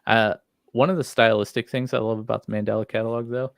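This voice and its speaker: A man with a fairly low voice talks casually as a podcast co-host. He is informal and enthusiastic, and the sound is close and dry. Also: flat delivery, quick pace, gravelly, no echo. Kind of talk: casual chat about horror series.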